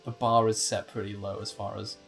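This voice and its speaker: A man's voice: fairly low pitch, level tone, fairly quick, creaky. A man co-hosting a video game playthrough with casual, wry, joking commentary. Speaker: let's play co-host. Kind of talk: casual gameplay commentary chat. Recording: game music over the voice. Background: video game music.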